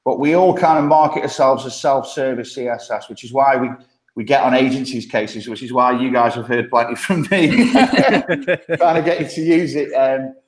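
A man's voice: medium pitch, moderate pace, reverberant. Chatty man with a Midlands accent. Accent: English Midlands. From Stoke-on-Trent, England. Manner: chatty, laughs often, many ums and restarts. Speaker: guest, agency partnership manager. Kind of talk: casual podcast interview.

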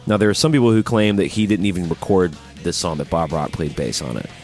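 A man's voice: sits low, lively intonation, quick pace, darkish tone. A man co-hosting a music podcast, talking casually and informally like an enthusiastic fan. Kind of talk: casual chat about rock music videos.

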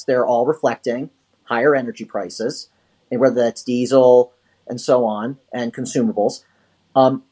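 A man's voice: mid-range voice, a little mellow, breathy edge. A man co-hosting a podcast, chatting about markets with frequent hesitations. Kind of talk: casual market commentary.

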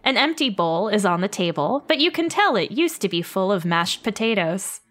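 A woman's voice: mid-range voice, very animated, normal speed, a bit bright. A woman co-hosting a comedy podcast, chatting casually and reading stage directions. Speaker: podcast co-host and narrator. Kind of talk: casual podcast chat and script narration.

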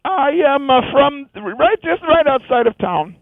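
A man doing a high rasping Indian woman. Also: sing-song, dry recording, full character voice. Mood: rambling. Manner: halting, broken English with many fillers. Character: woman amputee from India with transplanted hands. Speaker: phone-in comedy caller. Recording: tinny phone line.

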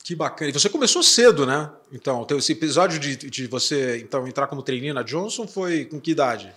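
A man's voice: a little high, expressive, fairly quick, a bit bright. A man from Curitiba, with a Curitiba accent mixed with São Paulo, hosting a business podcast and asking his guest questions with friendly interjections. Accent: Curitiba Portuguese softened by São Paulo. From Curitiba, Brazil. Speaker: podcast host.

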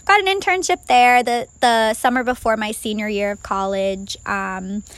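A woman in her twenties, raised in Ireland with a faint Irish accent, co-hosting a casual podcast chat in a chatty, quick way. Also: on the high side, clear, resonant tone, no room sound. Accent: slight Irish. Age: 20s. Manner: chatty, laughs easily, quick asides. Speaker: podcast co-host.

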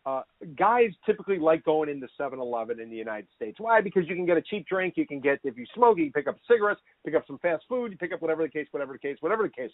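A man hosting a podcast, asking questions with energetic stammering. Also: fairly high pitch, very fast, hardly any pauses, no echo. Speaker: podcast host. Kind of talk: casual interview. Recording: dry tinny phone-like line.

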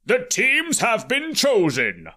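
A man voicing a giant temple idol as a booming, bombastic game-show announcer in an audiobook. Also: wildly swooping pitch, pushed and bright, heavily acted. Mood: grandly commanding. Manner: bombastic, drawn-out proclamations.

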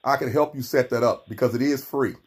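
A pastor hosting a radio podcast, talking with a cold and coughing, often saying amen. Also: male voice, medium pitch, brisk, husky. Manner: warm encouraging, frequent amens.

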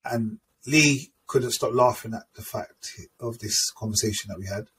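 A man's voice: mid-range voice, moderate pace, a bit bright. A man hosting a casual chat, easygoing and agreeable as he leads the segments. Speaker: podcast host. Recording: distant mic with faint background noise.

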